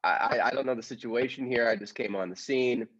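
A man with a husky voice acting in improvised comedy, halting and stammering. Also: medium pitch, a bit bright, dull audio.

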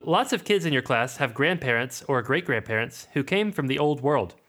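A man co-hosting a comedy podcast, reading an adventure book aloud in a dry, deadpan way and riffing on it. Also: medium pitch, moderate pace.

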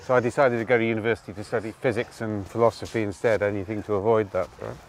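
A man from Wales telling his story outdoors in an interview, animated, with quick asides and tag questions. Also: on the low side, far from the mic, slight background noise. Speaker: guest. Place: outdoors on open hills.

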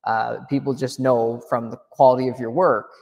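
Male host, casual interview, encouraging, middle pitch, long reverb. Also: level tone, normal speed, creaky, darkish tone, highs cut off. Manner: encouraging, frequent backchannel.

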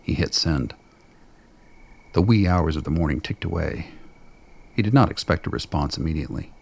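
A man with a gravelly, husky voice narrating a scripted dramatic story. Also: extremely low pitch, lively intonation, normal speed, darkish tone.

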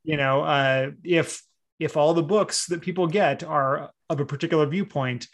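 Laid-back man in his forties, fairly high voice. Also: moderate pace. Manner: laid-back, rambling, laughs often, uses surfer slang. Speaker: guest writer. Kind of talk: casual podcast conversation.

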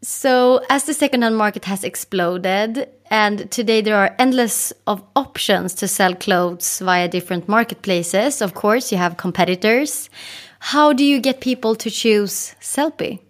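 A woman hosting a podcast, reading a smooth, upbeat scripted intro and then chatting in a friendly way with her guest. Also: mid-range voice, unhurried. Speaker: podcast host. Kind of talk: scripted intro and casual interview.

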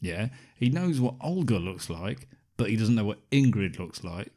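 Deep-voiced man, wry film recap. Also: big pitch swings, moderate pace, mellow. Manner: wry, recaps plot with sarcastic asides. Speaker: podcast co-host. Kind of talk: casual film review chat.

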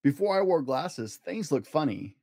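Man as a sensible, animated big brother. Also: on the high side, moderate pace, no echo, clean studio sound. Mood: explaining. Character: exasperated, sensible young big brother, storybook animal boy.

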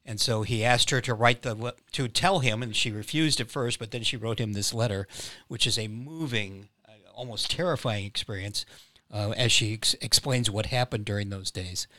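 A man, a playwright guest, recounting a story in a measured way with small fillers. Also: fairly low pitch, pushed and bright, dry recording. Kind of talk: storytelling in interview.

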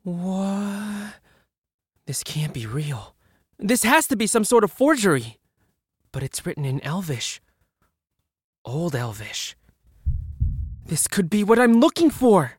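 A man's voice: high-pitched, takes it slowly, long pauses, husky, no echo, full character voice. Man acting a bookish, muttering scholar, dry close recording. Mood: excited disbelief. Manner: muttering, expressive, dramatic reactions. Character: curious, bookish male scholar muttering to himself. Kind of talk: audio drama acting.

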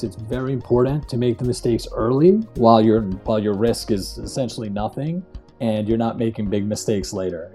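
A man's voice: mid-range voice, mellow. A man, a guest entrepreneur, giving informal, emphatic advice in an interview, often repeating his words.

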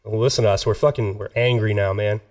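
A man's voice: lowish voice, fairly even intonation, fast, a little mellow, distant mic. A man co-hosting a radio show in casual banter, talking in profane slang. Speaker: radio show and podcast co-host. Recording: off-mic, faint music behind.

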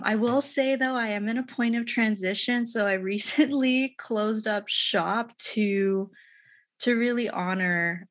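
Reflective woman coach, soft laughs. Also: medium pitch. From Philippines. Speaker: guest coach. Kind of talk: casual podcast conversation.

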